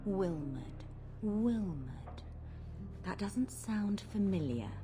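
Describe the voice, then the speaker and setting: A woman's voice: mid-range voice, expressive, slow, music in the background. A woman playing a sly, seductive courtesan and blood mage in a video game, with a languid, coy manner. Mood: coy, teasing. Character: seductive, sly female courtesan who practises blood magic.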